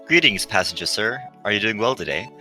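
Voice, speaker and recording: A man's voice: on the low side, lively intonation, a little fast, pushed and bright, faint music behind. A man co-reading on a stream in a casual, joking read-along style. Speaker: co-reader on a stream.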